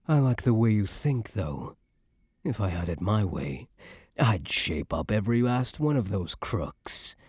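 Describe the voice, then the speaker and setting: A man playing a cocky young mafia heir who is a doting but menacing boyfriend, in an intimate ASMR roleplay with slow, smug tough-guy slang. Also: lowish voice, sing-song, darkish tone, breathy edge, dry recording, studio quality, heavily acted. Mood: smug, disdainful.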